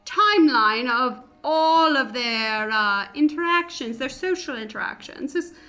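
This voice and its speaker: A woman's voice: sits high, expressive, a little slow, sharp tone, faint music behind, exaggerated voice. A woman in an improvised comedy radio play, acting a vain, regal mother who gushes, agrees repeatedly and insists on her first name. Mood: airy, self-pleased. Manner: gushing, affirming, repeats herself.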